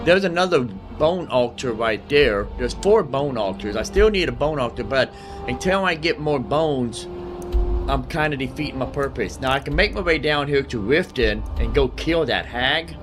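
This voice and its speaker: A man with a medium-pitched voice talks through the game he is playing. He thinks out loud casually, circling back and repeating phrases as he decides what to do. Music plays clearly behind his voice. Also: lively intonation, normal speed. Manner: rambling, thinking aloud, repeating himself. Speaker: gamer narrating own playthrough. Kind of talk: casual gameplay commentary.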